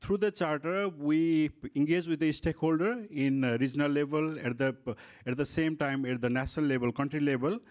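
A man's voice: a little high, darkish tone. A middle-aged man from Nepal, not a native English speaker, moderating a conference workshop and speaking through a handheld microphone in a meeting room, with many fillers and restarts. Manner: halting, frequent fillers and restarts. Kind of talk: conference panel presentation. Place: conference room with panel table.

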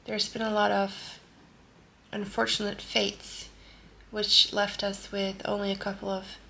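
A woman in her thirties with a Norwegian accent, answering viewer questions calmly while lying in bed, recorded by a close camera in a bedroom. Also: medium pitch, a little slow, gravelly, a little hiss or hum. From Norway. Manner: calm and gentle, lying in bed while talking. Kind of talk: soft-spoken casual Q&A. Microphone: camera close at face level.

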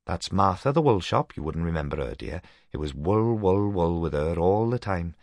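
A man's voice: sits low, expressive, moderate pace, darkish tone, no room sound. A man voicing a chatty, affectionate Welsh housewife in a storybook reading, gossiping fondly. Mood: fond gossipy. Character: chatty, fond Welsh housewife reminiscing over family.

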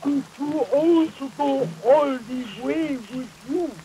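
A grave old witch doctor gives ominous warnings in a high voice, very slowly. It is old horror film acting on a noisy soundtrack, with rain falling behind. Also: dark tone. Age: older. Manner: slow, ominous, solemn. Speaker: film actor. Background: rain storm.

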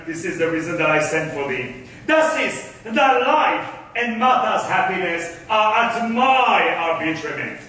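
Man acting a slow, scheming governor in an echoing hall. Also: sits high, sharp tone, exaggerated voice. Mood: smug. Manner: declamatory, deliberate. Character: scheming, gloating new governor of Malta, a wronged man. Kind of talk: theatrical staged reading of Elizabethan verse. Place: theatre stage, indoors. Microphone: distant camera. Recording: echoey stage hall from far off, faint noise.

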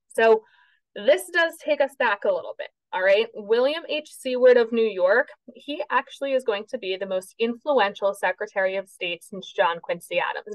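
A woman's voice: a little high, dry recording, rough recording. Chatty New Jersey woman teaching history. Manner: chatty, self-correcting, frequent "okay?" and "right?" check-ins. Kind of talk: recorded slideshow lecture. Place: home basement. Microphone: webcam.

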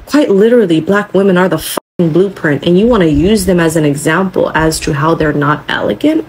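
A woman's voice: on the low side, darkish tone, a little hiss or hum, rough recording. A young woman giving a blunt, scolding, sweary response to camera as a social media creator. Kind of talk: direct-to-camera video response.

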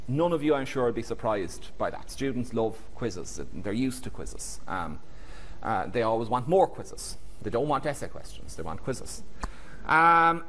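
A man's voice: medium pitch, slight background noise. A middle-aged man with a Cork Irish accent, a lecturer narrating a slide presentation in a hesitant, thinking-aloud way with many restarts. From Ireland.

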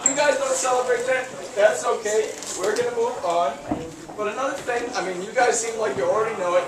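An upbeat young man in his twenties running a children's party show, joking with the kids and coaxing them to answer. He is recorded by a camera across a large, echoey hall, with loud children's chatter around him. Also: sits high, recorded from a distance. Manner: upbeat, jokey, coaxing the audience. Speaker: children's entertainer. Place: large hall decorated for the holidays, indoors. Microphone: far camera.

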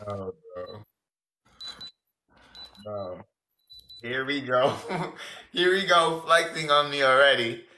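A hoarse nineteen-year-old man from the Bronx, chatting and teasing on a phone livestream. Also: medium pitch, lively intonation, takes it slowly, long pauses, sharp tone, roomy sound, a little hiss or hum. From the Bronx, New York. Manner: slangy, laughing, teasing. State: hoarse, losing his voice. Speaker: livestream guest. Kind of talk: casual livestream banter. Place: indoor room. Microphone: phone at arm's length.

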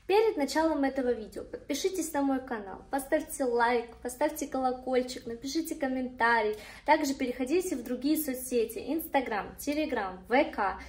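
A woman's voice: high-pitched, normal speed, hardly any pauses, a little mellow. A woman in her twenties talking casually to her phone as a manicurist vlogger, chatty and a little self-deprecating. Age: 20s. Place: small indoor kitchen and studio rooms. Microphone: phone at arm's length. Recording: clear background room noise.

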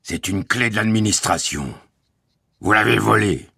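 A man voicing a huge, officious, bullying guard in a storybook reading, with a gravelly, shouting, menacing delivery. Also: medium pitch, big pitch swings, normal speed, dry recording, clean studio sound, voice pushed up, heavily acted. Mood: accusing, sarcastic. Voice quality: raspy, gravelly.

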